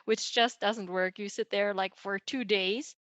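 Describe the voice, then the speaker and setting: A woman's voice: medium pitch, fairly even intonation, unhurried, fairly bright tone, dry recording. A young woman from Germany whose first language was Teochew, a podcast guest talking casually and chattily with many fillers and restarts. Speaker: podcast guest, data engineer. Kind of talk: casual interview talk.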